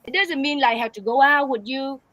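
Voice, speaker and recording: Brief: Vietnamese woman, accented broken English, bright slightly high voice, close dry mic, chatty storyteller. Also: a little fast, no echo. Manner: animated, broken English, frequent laughter. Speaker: guest. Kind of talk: casual storytelling chat.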